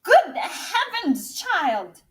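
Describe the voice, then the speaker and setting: A woman voicing a kindly, brisk little fairy godmother in a storybook, reassuring and playfully theatrical. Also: very high voice, huge pitch swings, fairly bright tone, distant mic, pitched above the performer's own voice, full character voice. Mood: amused.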